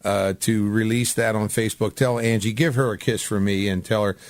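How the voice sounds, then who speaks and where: A man's voice: on the low side, fairly even intonation, a little fast, dry recording, clean studio sound. Casual, chummy male radio host. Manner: chummy, informal address. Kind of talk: casual radio interview chat.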